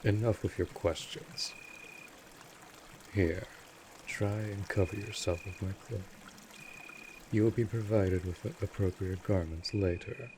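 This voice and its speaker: A man playing a cold, possessive vampire master in an audio drama, speaking in a formal, commanding, measured way. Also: fairly low pitch, fairly even intonation, warm tone, slight background noise. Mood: curt, authoritative. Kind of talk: scripted roleplay audio drama.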